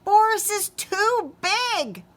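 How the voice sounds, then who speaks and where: Woman as a tiny frightened storybook mouse, high-pitched, shrill, crying out in alarm, bright. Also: very animated, drawn-out words, heavily acted. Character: frightened little school mice, squeaky and panicked.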